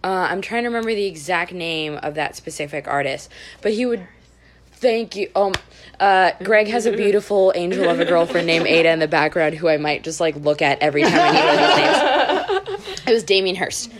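A woman chatting casually as a podcast co-host, telling stories in an informal, wry and animated way. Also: fairly low pitch, a little fast, a crowd in the background. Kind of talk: casual conversational storytelling.

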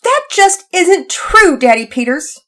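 Woman as a plaintive, high-voiced little girl. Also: sing-song, takes it slowly, bright, forceful tone, exaggerated voice. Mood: tearful, upset. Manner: plaintive, defensive. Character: sensitive, reluctant little girl, younger sister.

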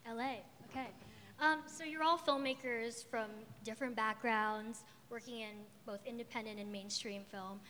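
Bright upbeat woman moderating in a hall. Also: a little high, steady tone, normal speed, a little hiss or hum. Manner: upbeat, laughing asides. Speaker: panel moderator and podcast host. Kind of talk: moderating a live panel. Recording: reverberant hall.

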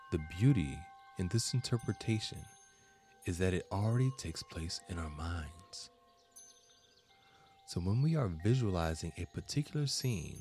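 A man narrating a scripted guided meditation as host, in measured, deliberate phrases with frequent pauses. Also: low-pitched, a little mellow.

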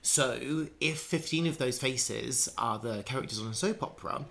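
Guy thinking out loud in a chat, bright tense tone, lots of restarts and ums. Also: medium pitch, normal speed, gravelly. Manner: rambling, musing, many restarts and fillers. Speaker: conversation partner. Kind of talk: casual conversation.

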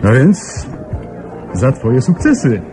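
A young man in a radio play, full of ironic banter and protective toward his friend. Music runs clearly behind his voice, which sits at an ordinary male pitch. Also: big pitch swings, slow pace. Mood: playful teasing. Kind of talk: Polish radio play acting.

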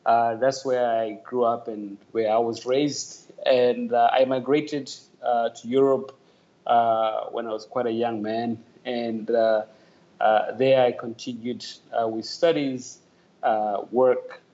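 A man from Tanzania, a guest calling in remotely to a podcast, answering thoughtfully with many "uh" pauses. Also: medium pitch, level tone, dark tone, dull audio. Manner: thoughtful, many "uh" fillers, earnest. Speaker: podcast guest, talent development executive. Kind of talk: conversational interview answer.

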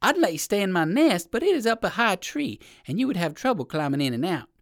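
Lady doing a nice helpful crow for a kids story, way higher pitch, very animated, reassuring. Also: brisk, dry recording, clean studio sound. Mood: friendly.

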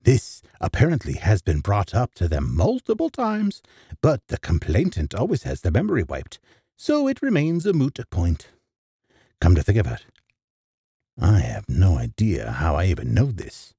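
A man giving a theatrical first-person horror narration with a gravelly, husky voice, dropping into hushed asides. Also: deep voice, wildly swooping pitch, normal speed, dry recording, studio quality. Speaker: storyteller.

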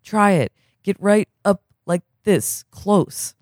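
A man's voice: high voice, animated, slow pace, no room sound, clean studio sound, voice pushed up. Man reading as a paranoid, insistent addict. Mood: urgent, paranoid. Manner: slangy, insistent. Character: ragged paranoid male addict, urgent, confiding, convinced people are machines.

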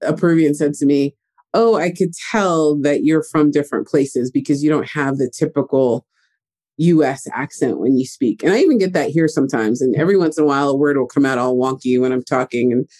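A young man talking about his life as an interview guest, lively and chatty. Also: fairly high pitch, a little fast. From United States. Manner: animated, chatty storyteller. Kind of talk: casual interview talk.

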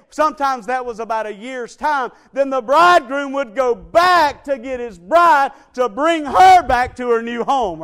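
A man preaching a sermon, swinging between loud build-ups and quiet, low appeals to the congregation. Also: clean tone.

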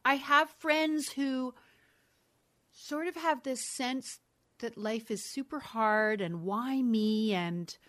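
A woman's voice: a little high, takes it slowly, a bit bright. Candid middle-aged woman reflecting aloud. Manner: candid, thoughtful, frequent self-corrections and laughs. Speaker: interview guest. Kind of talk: reflective conversational interview.

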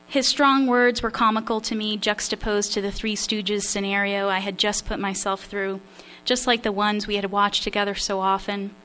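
A woman reads from her own memoir at a literary event, her voice at a middle pitch. She is slightly nervous and self-deprecating, pausing with small fillers between passages. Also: bright, forceful tone, a little hiss or hum. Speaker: memoir writer reading her work.

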